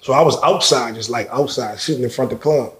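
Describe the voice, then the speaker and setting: Animated storytelling man, echoey room. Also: medium pitch, quick pace. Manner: animated storyteller, acts out quotes, repeats words. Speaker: interview guest, rapper. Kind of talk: casual storytelling interview.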